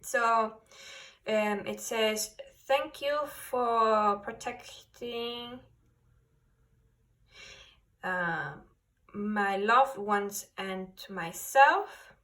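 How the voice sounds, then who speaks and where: A woman tarot reader who speaks English as a second language. She talks slowly and haltingly, searching for her words as she guides listeners through a spiritual card reading. The microphone sits some distance away from her. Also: medium pitch, a bit bright. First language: not English.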